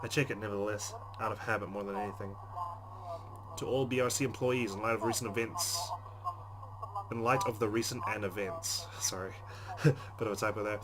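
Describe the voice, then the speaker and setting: A man's voice: fairly low pitch, steady tone, a little fast, very noisy. A man hosting a let's play, reading visual novel narration aloud in a dramatic way. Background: game music.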